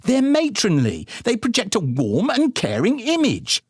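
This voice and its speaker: A man's voice: extremely high pitch, wildly swooping pitch, unhurried, husky, no echo, clean studio sound, voice pushed up, exaggerated voice. A man voicing an indignant, fussy, squabbling mother in a comic audiobook. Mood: huffy indignation.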